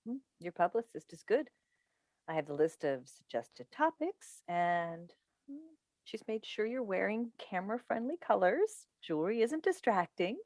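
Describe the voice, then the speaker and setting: A woman's voice: medium pitch, big pitch swings, moderate pace, darkish tone, no echo. A woman in a staged play reading, playing a seasoned, composed talk show host and mother who tries to placate people. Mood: brisk, instructive. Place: indoors on a Zoom call. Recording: dry video call recording.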